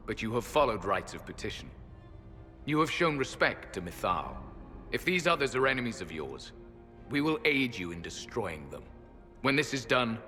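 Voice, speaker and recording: A solemn ancient elven sentinel. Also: male voice, fairly low pitch, sharp tone. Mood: cold. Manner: solemn, formal and cold. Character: ancient stern male elven temple sentinel. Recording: long hall reverb. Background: faint music.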